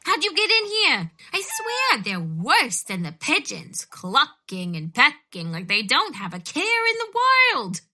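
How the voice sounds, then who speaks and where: A woman playing a chatty, put-upon police trainee in a comedic audio drama, brisk, emphatic and wryly grumbling. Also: high-pitched, huge pitch swings, bright, forceful tone, full character voice. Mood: exasperated.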